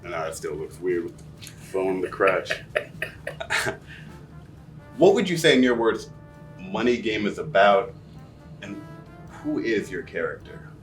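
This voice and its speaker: A man hosts a casual interview in a fairly low voice, friendly and appreciative, with a joking edge. The microphone sits at a distance from him. Faint music runs underneath. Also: animated, slow pace, long pauses. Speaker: interview host.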